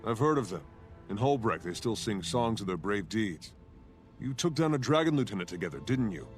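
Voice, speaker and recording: Lowish-voiced, resolute warrior hero. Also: male voice, big pitch swings, brisk, soft background music. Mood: resolute, friendly. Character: bold male warrior hero, resolute and friendly. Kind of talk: fantasy video game acting.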